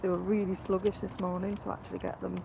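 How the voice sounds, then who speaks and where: A hoarse woman, chatting quietly to viewers on a fishing live stream outdoors by a lake. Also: medium pitch, completely flat delivery, quick pace, noisy background. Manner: speaks quietly, greets viewers. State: hoarse from a cold. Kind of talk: casual live-stream chat.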